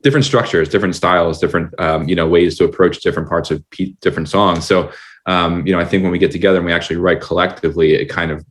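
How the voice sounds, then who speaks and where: Man, very low voice, halting, casual band chat. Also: fairly even intonation. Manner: halting, searching for words. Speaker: band member.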